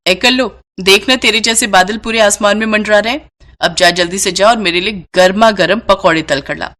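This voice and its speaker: A woman's voice: medium pitch, normal speed, a bit bright, lightly acted. A woman voicing a haughty, spoiled young daughter-in-law who speaks in a mocking, self-important way in a family story.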